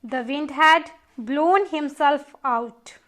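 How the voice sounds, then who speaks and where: A woman reading a scripted children's story as a storyteller and teacher, slowly and deliberately. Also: high voice, fairly bright tone.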